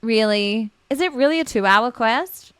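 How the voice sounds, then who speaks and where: A woman's voice: fairly high pitch, expressive, measured pace, fairly bright tone. A young woman streaming a game with casual live commentary, gushing, exclaiming and giggly in manner.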